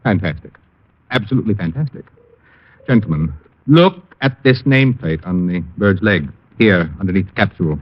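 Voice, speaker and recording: An actor playing a fussy, formal gentleman, flustered and anxious, in an old radio drama. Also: low-pitched, expressive, moderate pace. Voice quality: clicking false teeth. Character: fussy, anxious, formal male blackmail victim. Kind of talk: 1950s radio drama acting. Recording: dull old radio recording.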